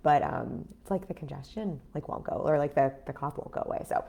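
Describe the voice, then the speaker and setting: A woman in her thirties talking casually on a livestream as a business coach, her voice raspy after an illness, chatty with many fillers. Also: low voice, expressive, a little fast, warm tone. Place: home in a city apartment.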